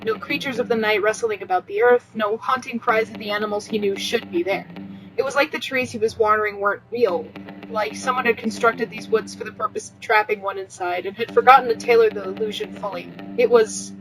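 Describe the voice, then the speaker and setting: Female podcast host, slightly high voice, informal read-aloud and chat, faint music underneath. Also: fairly quick, rough recording. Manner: informal, swears freely. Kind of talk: casual chat and amateur read-aloud of fan fiction.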